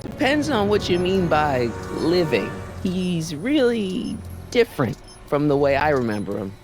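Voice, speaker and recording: A man's voice: a little high, very animated, a little slow, music in the background. An actor playing an earnest, puzzled American teenage boy in a video game, speaking casually and hesitantly. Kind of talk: animated video game dialogue acting.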